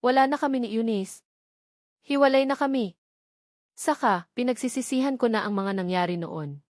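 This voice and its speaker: A woman's voice: on the high side, moderate pace, a little mellow, dry recording, clean studio sound, natural voice. Serious, insistent billionaire man, read by a woman. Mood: regretful. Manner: serious, matter-of-fact. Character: serious, insistent male billionaire seeking marriage.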